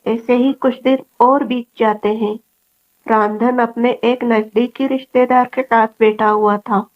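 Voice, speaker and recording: A woman's voice: fairly high pitch, fairly even intonation, a little slow, lo-fi sound. A woman narrating a scripted children's story.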